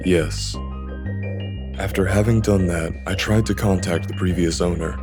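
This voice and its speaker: A man voicing a remorseful, fearful loner in a visual novel dub, speaking in a measured, earnest way as he explains himself. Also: deep voice, steady tone. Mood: somber confession. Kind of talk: visual novel English fan dub, dramatic dialogue. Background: music.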